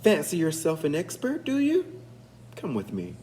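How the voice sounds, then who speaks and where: A man reading a storybook and voicing a proud, greedy leprechaun shoemaker in a gruff, challenging, indignant manner. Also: a little high, huge pitch swings, moderate pace, darkish tone, a little hiss or hum, voice pushed up.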